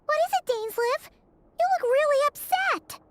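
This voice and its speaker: Tiny, extremely squeaky fairy companion. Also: female voice, lively intonation, normal speed, loud music behind the voice. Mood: concerned, perky. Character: tiny, perky childlike fairy companion in a fantasy game.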